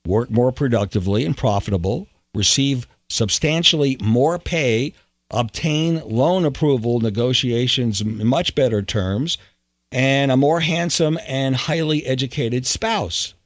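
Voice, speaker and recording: A man's voice: mid-range voice, lively intonation, measured pace, no room sound, studio quality. A man hosting a talk radio show, speaking and asking questions in a conversational way.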